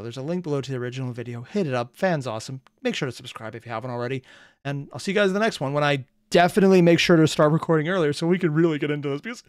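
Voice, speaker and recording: A reaction video host talking casually, animated and exasperated, with profane asides. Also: mid-range voice, no room sound, clean studio sound.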